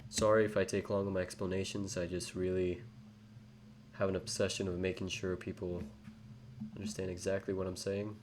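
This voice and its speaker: A young man with an English accent, rambling through a live trading tutorial with many fillers and self-corrections. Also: low voice. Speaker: trading educator. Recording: faint background noise.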